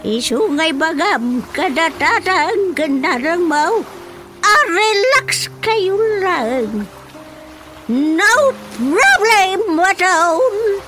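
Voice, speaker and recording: A woman's voice: extremely high pitch, lively intonation, drawn-out words, exaggerated voice. A woman playing a chatty mother with a third eye in a radio comedy, in a sing-song, dramatic way of talking. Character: middle-aged mother who sees with a third eye. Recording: music under the dialogue.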